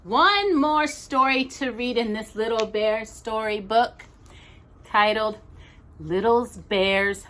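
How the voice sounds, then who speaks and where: A woman's voice: a little high, takes it slowly, a bit bright, slight background noise. A woman hosting storytime, narrating a children's story expressively with playful asides to a young listener. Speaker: storytime host reading to children.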